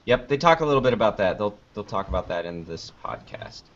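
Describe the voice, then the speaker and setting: Male podcast guest, lower register, thinks aloud conversationally, dull low-fidelity recording. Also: very animated, brisk. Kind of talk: casual interview chat. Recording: dull lo-fi online call audio.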